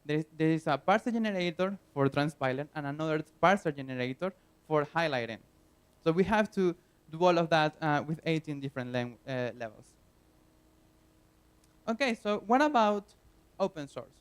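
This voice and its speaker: Venezuelan guy explaining stuff at a conference, highish voice, smooth and clear, lots of uhs. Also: lively intonation, normal speed. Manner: earnest, plain explaining with frequent fillers. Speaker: conference speaker. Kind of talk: technical conference talk. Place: conference hall.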